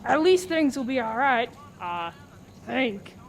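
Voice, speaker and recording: A friendly, folksy diner customer played by a woman in a comic radio play. The voice is high and slow-paced, with faint background noise. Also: very animated, gravelly, heavily acted. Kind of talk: farcical radio play acting.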